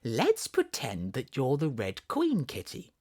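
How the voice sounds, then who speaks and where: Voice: mid-range voice, huge pitch swings, slow pace, darkish tone, slightly rough, full character voice. A storybook narrator with a Southern English accent voicing a chatty, imaginative, bossy little girl who is playful and full of wonder. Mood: coaxing.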